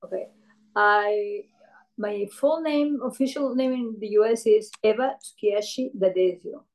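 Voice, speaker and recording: An older woman from Peru, a retired professor, recalling her career in an oral history interview, speaking thoughtfully with many pauses and fillers. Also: medium pitch, darkish tone, rough recording.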